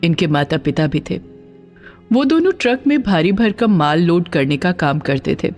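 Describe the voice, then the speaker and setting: Female story narrator: expressive, emphatic, very lively intonation, faint music under dramatic scripted tale. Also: fairly low pitch, normal speed.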